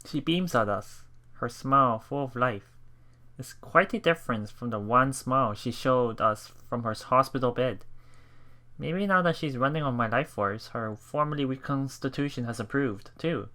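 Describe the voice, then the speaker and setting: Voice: medium pitch, pushed and bright. A person doing a casual let's play, reading visual novel narration aloud and commenting on it, with game music behind.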